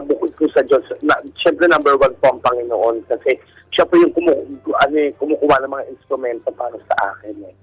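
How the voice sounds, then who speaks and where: Husky, animated Filipino man sharing personally. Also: medium pitch, no room sound, poor audio. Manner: polite, using respectful particles. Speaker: guest telling their own story. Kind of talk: casual personal testimony.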